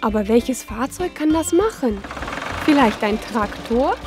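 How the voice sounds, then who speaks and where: Female narrator, higher-pitched, for a preschool educational cartoon; instructive question-and-answer delivery over clear background music. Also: animated, unhurried. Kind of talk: scripted narration for young children.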